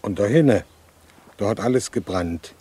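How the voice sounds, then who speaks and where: A man playing a plain-spoken villager with a west-central German regional accent, giving a halting, colloquial eyewitness account in a radio play. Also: lowish voice, expressive, mellow, husky. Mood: matter-of-fact recollection. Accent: regional west-central German (Hessian-Palatinate).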